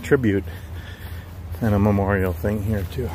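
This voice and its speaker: A man's voice: fairly low pitch, steady tone, a little slow, a little mellow, low-quality recording. A middle-aged man, chatting casually and folksily in a rambling outdoor vlog. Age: middle-aged, 50s. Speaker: vlogger, truck driver. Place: outdoors at a highway rest area. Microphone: handheld phone or camera at arm's length. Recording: outdoor handheld recording with clear background noise.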